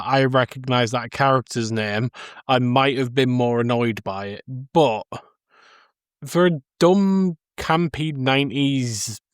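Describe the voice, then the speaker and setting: A man's voice: mid-range voice, clean tone, dry recording. A man with a mid-range voice chats casually as a podcast co-host. He sounds conversational, discussing a film in a very dry recording.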